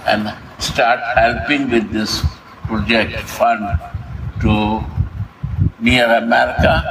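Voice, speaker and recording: A man speaks slowly in broken, halting English, often restarting his sentences as he introduces visitors. His voice sits at a middle pitch. Steady noise runs behind him. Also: gravelly. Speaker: ashram host introducing visitors. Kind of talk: casual conversation. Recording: noisy background.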